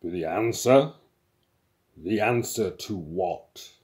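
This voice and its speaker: A man voicing a vast ancient supercomputer in an audiobook, grave and pompous, speaking with weighty pauses. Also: sits low, lively intonation, a little mellow, heavily acted. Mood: grave, commanding.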